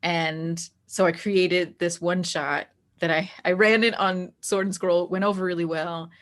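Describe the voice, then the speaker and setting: A woman talking casually as an interview guest about her work as a game master, telling it in an animated, conversational way. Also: on the low side, measured pace. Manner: animated storytelling that acts out quoted dialogue, frequent "like".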